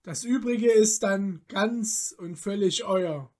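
Woman voicing an earnest young man, slow and courteous. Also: mid-range voice, a little mellow. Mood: courteous, firm. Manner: polite, formal, old-fashioned diction. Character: earnest, modest, noble-hearted young man, a craftsman.

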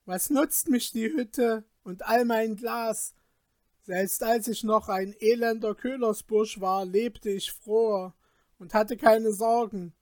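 A reader voicing a reckless young man, newly rich and boastful, talking loudly and in agitation. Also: medium pitch, slow pace, dry recording, studio quality, close to an everyday voice. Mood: despairing.

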